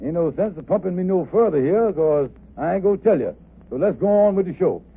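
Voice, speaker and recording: A blustering, folksy schemer from old radio comedy. His voice rides high with gravelly creak, and his grammar is homespun. It comes through a tinny, narrow vintage radio recording. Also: expressive, fairly quick, full character voice. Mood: coy, insistent. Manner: folksy dialect with ungrammatical phrasing. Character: wily, blustering lodge leader, chummy, exasperated with his friend. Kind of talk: 1950s radio comedy acting.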